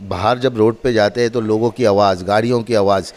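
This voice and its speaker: A man's voice: lowish voice, fairly even intonation, fairly quick, a little mellow. Man explaining, instructive and emphatic. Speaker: mosque representative demonstrating loudspeaker equipment. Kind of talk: spoken demonstration and explanation.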